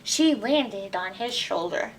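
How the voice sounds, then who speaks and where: Slow, animated woman reading to children. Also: mid-range voice. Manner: expressive, asks listeners questions. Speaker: library storytime reader. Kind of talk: read-aloud picture book storytelling for children, with asides. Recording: distant mic with background noise.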